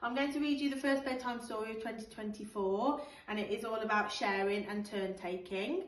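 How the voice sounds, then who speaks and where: A woman teacher reading a picture book to young children with expressive, measured narration. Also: a little high. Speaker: primary school teacher reading a bedtime story. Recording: roomy, distant microphone.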